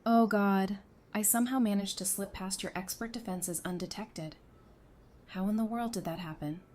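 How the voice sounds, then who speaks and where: A woman's voice: medium pitch, normal speed, darkish tone, close to an everyday voice. A woman playing a caring, candid, wry survivor in an audio drama, speaking earnestly and expressively.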